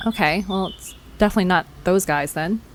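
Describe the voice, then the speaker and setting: A woman's voice: lowish voice, a little fast, natural voice. A woman playing a blunt, easily flustered young amateur investigator in an audio drama, with a hesitant, sardonic way of talking. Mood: puzzled. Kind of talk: audio drama dialogue, conversational.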